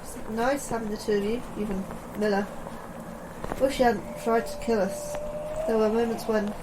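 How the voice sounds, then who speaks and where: A woman playing an anxious, hesitant astrobiologist in a sci-fi horror audio drama, speaking haltingly. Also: on the high side, steady tone, background noise, natural voice. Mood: wistful, unsure.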